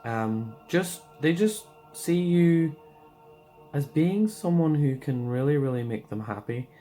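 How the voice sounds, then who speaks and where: A man giving a casual tarot card reading, hoarse and losing his voice, rambling loosely with lots of fillers. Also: a little high, lively intonation, slow pace, warm tone, faint music behind.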